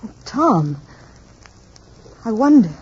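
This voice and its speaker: A poised, protective mother in a fifties radio play. Her fairly high voice moves expressively through gentle scolding and doubt, on a dull recording with faint noise. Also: big pitch swings, slow pace. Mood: uneasy. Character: protective, skeptical mother of a young boy. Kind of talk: 1950s radio drama acting.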